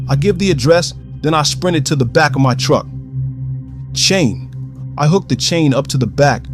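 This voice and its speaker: A man's voice: a little high, close to an everyday voice. An actor playing an alarmed man racing to rescue friends, narrating urgently in an audio drama. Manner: urgent, tense first-person telling.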